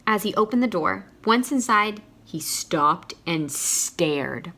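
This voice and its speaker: A woman's voice: medium pitch, expressive, slow, bright, forceful tone. A woman reading a children's picture book aloud expressively, stopping to put questions to young listeners.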